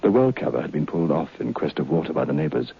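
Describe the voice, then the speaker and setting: An actor playing a shaken man who tells a haunting tale in dramatic, halting radio drama style, with a gravelly, husky voice. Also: very deep voice, very animated, exaggerated voice. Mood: grave, reflective. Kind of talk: old radio horror drama acting and narration. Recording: dull vintage radio recording.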